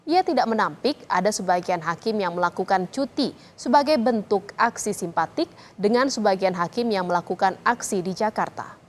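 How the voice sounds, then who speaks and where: A woman's voice: medium pitch, lively intonation, normal speed. Woman reading scripted television news. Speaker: news anchor.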